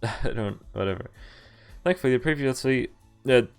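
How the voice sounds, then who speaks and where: A man's voice: medium pitch, lively intonation, moderate pace, clear, resonant tone. A man doing a casual game playthrough, reading text aloud and breaking off for wry, jokey asides. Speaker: game streamer. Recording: music behind the voice. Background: visual novel game music.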